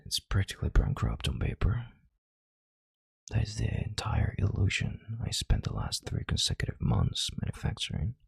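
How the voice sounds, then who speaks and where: A man playing a calm, controlling, arrogant chief executive in an intimate audio roleplay, with slow, deliberate, condescending authority and a hushed delivery. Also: dry recording, full character voice. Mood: smug, calculating. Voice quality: deep, gravelly, breathy half-whisper. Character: calm, controlling, arrogant CEO soothing his analyst.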